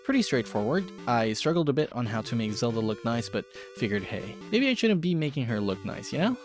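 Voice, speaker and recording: A man's voice: medium pitch, big pitch swings, a little fast. A man hosting a casual, narrated art tutorial in a chatty, informal, self-deprecating way. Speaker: host of an art tutorial show. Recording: background music under voice. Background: music.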